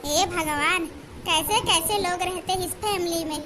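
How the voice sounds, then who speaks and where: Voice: very high voice, moderate pace, background noise. A performer voicing a hapless, exasperated young male goldsmith in a comedy cartoon, speaking politely and grumbling. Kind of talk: comic cartoon dialogue.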